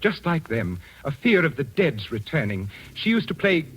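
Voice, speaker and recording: An actor playing a grave, secretive widowed father in 1940s radio style, halting and melodramatic. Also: a little high, big pitch swings, a bit bright, slight background noise, rough recording. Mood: grave, confiding. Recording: vintage 1940s radio broadcast.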